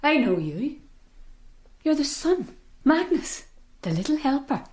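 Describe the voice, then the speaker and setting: A woman acting a bitter, vengeful widow in a radio drama, speaking slowly, accusingly and intensely. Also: high voice, very animated, a little mellow, heavily acted. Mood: accusing recognition.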